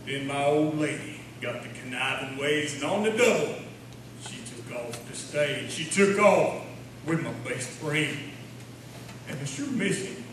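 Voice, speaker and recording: A man's voice: a little high, animated, measured pace. Mournful country poet played by a man, plaintive folksy recital, distant and very echoey with noise. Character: mournful redneck small-town poet reciting his verse. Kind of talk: comic poetry recital. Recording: echoey hall from far off, noisy.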